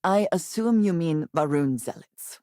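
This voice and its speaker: An actress playing a guarded, stern and loyal spacefaring companion in a video game, with formal, measured phrasing. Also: female voice, on the low side, lively intonation, warm tone, no echo, clean studio sound. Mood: guarded, serious. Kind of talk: video game character voice lines.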